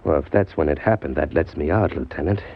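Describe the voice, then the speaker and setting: A man's voice: extremely low pitch, fast talker. An American actor playing a defensive, slangy down-on-his-luck drifter in a 1940s radio crime drama. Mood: defensive, nervous. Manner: slangy, hard-luck plain talk. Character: down-on-his-luck drifter, defensive small-time hobo narrator. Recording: dull old radio recording, music behind.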